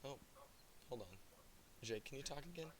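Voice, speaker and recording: A hoarse young man chatting as an esports caster, self-mocking and chatty before the match. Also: mid-range voice, moderate pace. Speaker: esports caster and stream operator. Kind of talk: casual pre-game esports commentary.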